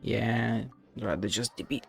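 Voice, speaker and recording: A man streaming a game with offhand, blunt commentary and some swearing. His voice has a heavy creak, and game music plays faintly behind him. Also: on the low side, steady tone, normal speed. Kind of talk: casual live game commentary, reading dialogue aloud.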